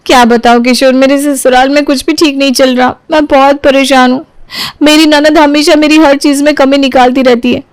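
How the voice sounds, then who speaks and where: A woman's voice: sits high, fairly even intonation, moderate pace, no echo, voice pushed up, lightly acted. A woman voicing a meek, overworked young daughter-in-law who speaks earnestly and deferentially in an audio story. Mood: troubled.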